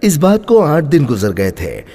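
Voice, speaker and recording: A man tells a scripted moral tale with emphatic expression. His pitch rises and falls freely, and his voice has a husky grain. Everything rings in a long, hall-like reverb. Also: huge pitch swings, unhurried, warm tone. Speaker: storyteller. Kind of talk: dramatic scripted moral story narration.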